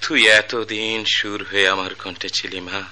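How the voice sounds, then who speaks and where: Anguished old music master, piercingly intense. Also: male voice, fairly low pitch, fairly even intonation, normal speed, sharp tone, low-quality recording. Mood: remorseful, grieving. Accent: Bangladeshi. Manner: fervent, repetitive, swelling theatrical delivery. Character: anguished ageing master musician, a doting father. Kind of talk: old-fashioned Bengali radio drama acting.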